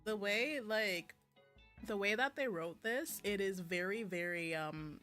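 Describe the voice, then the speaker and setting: Bright-voiced woman reading a game aloud. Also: medium pitch, unhurried. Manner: slangy, casual, unpolished. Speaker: video game streamer. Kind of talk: reading a visual novel aloud with casual reactions. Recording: music playing behind the voice. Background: game music.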